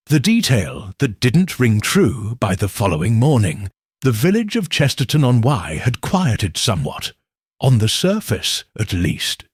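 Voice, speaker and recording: A man narrating an audiobook in a slow, deliberate storytelling manner. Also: mid-range voice, slightly rough, no echo, studio quality. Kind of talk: scripted narration.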